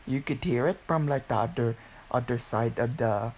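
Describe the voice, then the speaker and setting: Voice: mid-range voice, moderate pace, a little mellow, low-quality recording. A teenager with a Filipino accent giving a casual, rambling solo monologue, repetitive and given to exclamations. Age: teens, about 16. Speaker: video creator.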